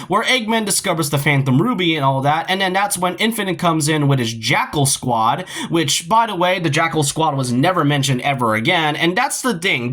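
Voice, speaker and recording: A man delivers an informal video essay with a fairly high, bright and forceful voice. His intonation swings widely as he argues his opinions with emphasis. He often stumbles and repeats words as he goes. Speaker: video game commentary YouTuber.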